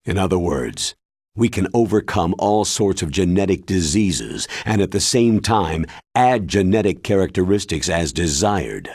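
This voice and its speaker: A man voicing a grave, authoritative military commander in a video game, explaining in a measured, solemn way with a husky voice. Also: sits low, animated, a little mellow, no room sound, studio quality, exaggerated voice. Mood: solemn, explanatory.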